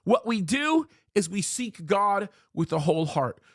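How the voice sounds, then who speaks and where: A man's voice: sits high, very animated, unhurried, a bit bright, no room sound, studio quality. A pastor, a man, preaching emphatically to his viewers, pleading and repeating phrases for weight. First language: Arabic is his second language, English first. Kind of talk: preaching commentary to camera.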